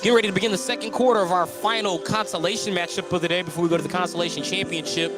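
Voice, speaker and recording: A man's voice: high voice, steady tone, very fast, music in the background. An American man doing live radio play-by-play commentary of a basketball game as the announcer.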